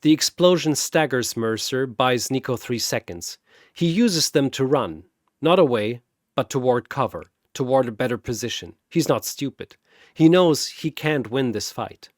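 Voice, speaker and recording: A man telling a scripted battle story in a measured, dramatic manner. He takes his time, and his pitch moves a lot through each line. The recording is dry and close. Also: animated, no echo. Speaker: narrator.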